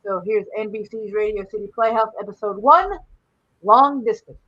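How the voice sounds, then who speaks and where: A woman's voice: mid-range voice, moderate pace, a bit bright. A woman hosting a show of old radio programs, moving between casual chat and reading out program notes. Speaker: host of an old-time radio show stream. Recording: dull, lo-fi sound.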